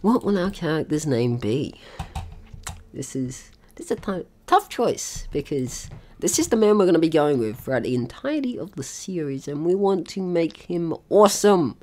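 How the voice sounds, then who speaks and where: A young adult gaming host giving casual let's play commentary in an excitable, sweary, rambling manner. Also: medium pitch, normal speed.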